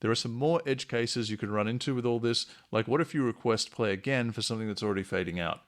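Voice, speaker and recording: A man narrating a software tutorial in a measured, instructional way. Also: mid-range voice. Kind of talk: explanatory screencast tutorial narration.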